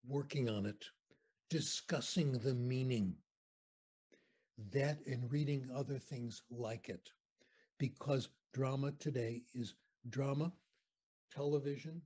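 Older american guy on a video call, super husky voice, mid pitch, rambling stories. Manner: animated, anecdotal, conversational. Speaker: interview guest. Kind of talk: storytelling lecture on a video call. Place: home room with shelves. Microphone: video call webcam.

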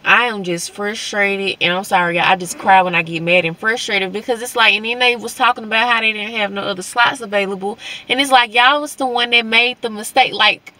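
Young adult female vlogger, Southern US accent, medium pitch, informal talk straight to viewers. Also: steady tone, a little fast, few pauses, sharp tone. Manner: informal, conversational, addressing viewers as y'all. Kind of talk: casual vlog chat to viewers.

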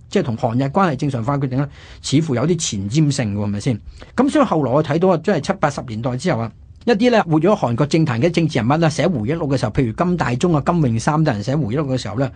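A husky man with a slightly high voice hosts a history show in Hong Kong Cantonese. He explains things conversationally, stretching out particles at the ends of phrases. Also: a little mellow. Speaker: radio programme host.

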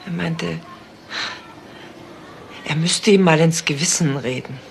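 A woman's voice: deep voice, slow, vocal fry, slight background noise, exaggerated voice. An actress playing a woman witness answering police questions carefully in a German crime radio play. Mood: subdued.

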